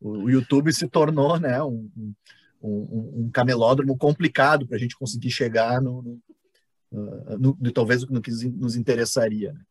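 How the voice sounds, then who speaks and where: Husky Brazilian man moderating a panel. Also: medium pitch, fairly even intonation, moderate pace, no echo. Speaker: host and moderator of an online panel. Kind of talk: informal academic live-stream moderation.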